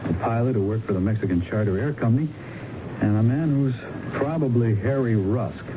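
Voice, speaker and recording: A man's voice: medium pitch, a little fast, natural voice. An actor playing an eager, deferential American patrolman with a folksy, informal manner in a 1970s radio drama. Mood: reporting. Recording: dull, noisy old radio recording.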